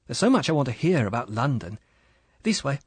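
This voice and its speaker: A man voicing a young, pretty English wife who talks in a soft, chatty, apologetic way. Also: mid-range voice, sing-song, fairly quick, breathy edge, dry recording, pitched above the performer's own voice. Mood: eager.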